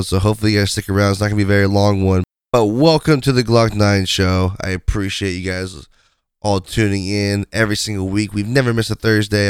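A man with a low, raspy voice from a sinus infection, chatting casually on his podcast. He rambles along with plenty of fillers. The sound is close and dry, with no room around it. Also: dry recording. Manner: rambling, conversational, many fillers. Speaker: podcast host. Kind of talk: casual solo podcast chat.

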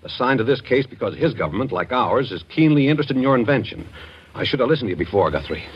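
An actor playing a shrewd, wry, take-charge investigator in a 1940s radio thriller, on a muffled, noisy old recording. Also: male voice, medium pitch, expressive, lightly acted. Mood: grave, deliberate. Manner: measured, wry, directing others.

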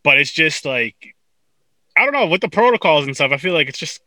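Bright-voiced chatty male podcast host. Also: on the high side, sing-song, fairly quick, no room sound. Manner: rambling, chatty, trails off and restarts. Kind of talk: casual interview chat.

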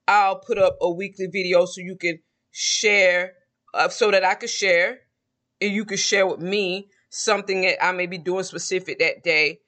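A man in his forties speaks with a Southern American accent and a high, bright voice. He talks casually and reflectively, often restarting a thought before he finishes it. It sounds like a personal vlog addressed straight to his viewers. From Southern United States. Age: 40s. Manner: rambling and reflective, with frequent restarts. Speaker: vlogger. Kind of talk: casual monologue to camera.

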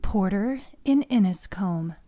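A woman's voice: medium pitch, animated, slow pace, mellow. A woman hosting and narrating a fiction podcast in a scripted storytelling style.

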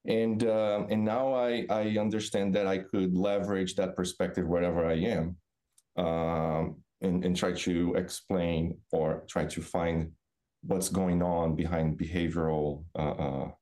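A middle-aged man from Brazil with a Brazilian accent, a native Portuguese speaker, giving thoughtful, halting explanations as an interview guest. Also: low-pitched, level tone, warm tone. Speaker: podcast guest, business professor. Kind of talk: reflective interview answers.

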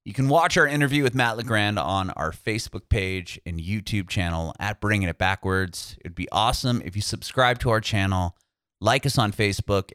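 Man, podcast host, lowish voice, dry sound, upbeat, laid-back. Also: a bit bright, no room sound. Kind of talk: podcast intro and interview. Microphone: video call.

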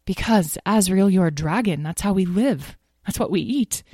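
A woman voicing a caring mother dragon in a children's story, worried and motherly, gentle but firm. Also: mid-range voice, animated, moderate pace, husky, no room sound, clean studio sound.

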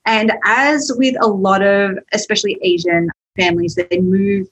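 Young aussie woman on a podcast, bit husky, chatty, laughs a lot. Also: mid-range voice, fairly even intonation, muffled recording. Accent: Australian. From Australia. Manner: chatty, laughs often, quick asides. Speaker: guest. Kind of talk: podcast interview.

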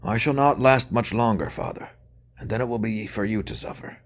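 A man reading an audiobook voices a frail, ailing old cardinal who speaks softly, wearily and kindly. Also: on the low side, a little fast, voice pushed up, lightly acted. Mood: grave. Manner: soft, weary, kindly. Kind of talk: audiobook character voice.

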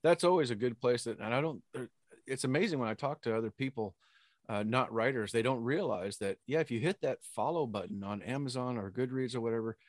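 A man's voice: medium pitch, a little fast, no room sound. Man hosting, dry close sound. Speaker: podcast host. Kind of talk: casual interview chat.

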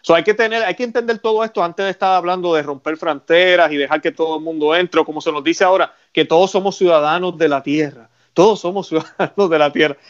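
A man's voice: fairly high pitch, fast talker, lo-fi sound. Earnest Puerto Rican male host. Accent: Puerto Rican Spanish. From Puerto Rico. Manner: earnest, preaching tone with religious references. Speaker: radio show host. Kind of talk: conversational talk show.

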